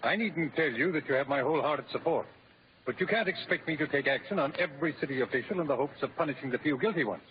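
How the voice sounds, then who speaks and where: An actor playing a formal, placating mayor in an old-time radio drama, measured and oratorical. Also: male voice, a little high, lightly acted. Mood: placating, firm. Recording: muffled radio recording, loud crowd murmur.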